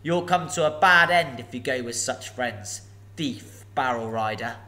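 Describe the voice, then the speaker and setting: A man voicing a sly, menacing old male dragon, slow and mocking. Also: mid-range voice, lively intonation, bright, forceful tone. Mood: sneering.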